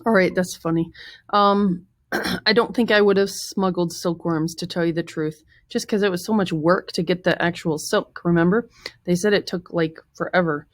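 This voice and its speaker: A woman's voice: fairly low pitch, no echo, clean studio sound. A woman teacher chatting informally with students as she leads them through a lesson. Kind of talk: casual lesson chat with students.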